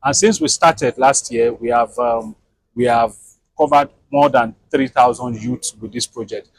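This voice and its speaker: A man with a Nigerian accent explaining his theatre project in an interview, setting out his points deliberately. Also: medium pitch, husky.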